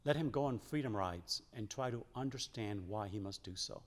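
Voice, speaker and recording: Lower-voiced man reading in a reverberant hall. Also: moderate pace. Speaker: church member reading aloud. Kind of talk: solemn scripted reading of quotations.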